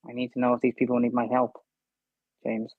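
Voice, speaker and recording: A man's voice: medium pitch, monotone, no echo, close to an everyday voice. A man playing a stubborn, earnest fighter in a tabletop game, speaking insistently. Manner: halting, insistent. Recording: dull, dry.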